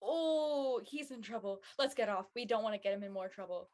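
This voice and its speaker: A woman's voice: mid-range voice, normal speed, darkish tone, natural voice. A woman playing a supportive, slightly worried teenage student with casual slang in a scripted drama. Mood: excited, concerned.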